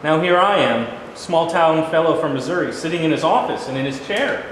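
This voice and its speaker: A man's voice: on the high side, lively intonation, fairly quick, fairly bright tone. A man in a staged reading playing a humble, uneasy newly sworn American president. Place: large room at a live staged reading. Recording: very echoey hall, distant hiss.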